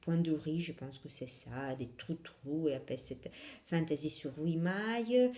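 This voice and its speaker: A woman's voice: low-pitched, unhurried, warm tone, echoey room. A young woman from Italy with an Italian accent, hosting a casual knitting podcast in French, hesitant with many fillers and self-corrections.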